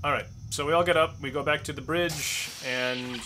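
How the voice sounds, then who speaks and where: A man's voice: on the high side, fairly even intonation, moderate pace. A man at a tabletop game, mixing casual chat with lines spoken as a starship captain. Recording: clearly audible background noise.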